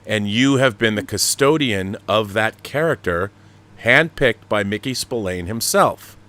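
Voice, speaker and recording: Low-pitched man hosting an opinionated talk show. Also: lively intonation, unhurried, sharp tone. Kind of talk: opinionated live commentary and interviewing.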